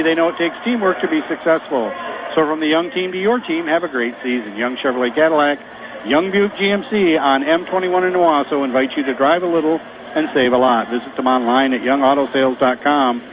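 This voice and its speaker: Michigan radio guy reading sponsor spots, slightly high voice, phone-line sound w/ hiss. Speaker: color commentator. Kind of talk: reading live radio ads. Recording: tinny phone-line broadcast with noise.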